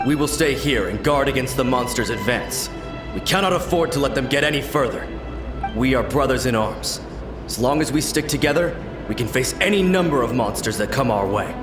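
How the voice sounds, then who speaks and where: A man's voice: mid-range voice, expressive, brisk, lightly acted. A man playing a loyal earnest samurai soldier. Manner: formal and dutiful. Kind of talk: game voice acting. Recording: roomy and distant under music.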